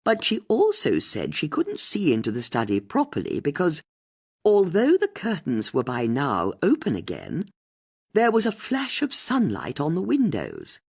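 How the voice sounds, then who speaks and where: A woman playing shrewd older female sleuth, audiobook character, husky, highly animated, precise, triumphant, theatrical. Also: heavily acted.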